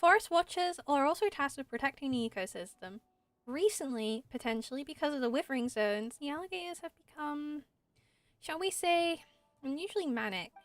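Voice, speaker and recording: A woman's voice: high-pitched, no echo. A woman voicing an earnest, caring young female forest ranger in video game dialogue, polite and encouraging. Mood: explaining, confident. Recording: music behind the voice.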